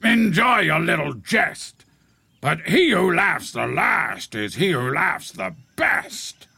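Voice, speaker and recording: A man voicing a vain, grumbling rhinoceros in a storybook, with a gravelly, husky voice and pompous, drawn-out delivery. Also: a little high, big pitch swings, sharp tone, dry recording, pitched above the performer's own voice, full character voice. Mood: indignant, scheming.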